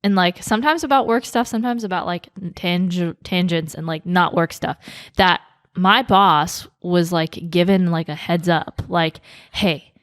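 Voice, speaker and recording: Young woman, quiet, soft-spoken, low pitch, dry sound, casual podcast chat. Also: a little slow, clean tone, no room sound. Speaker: podcast co-host.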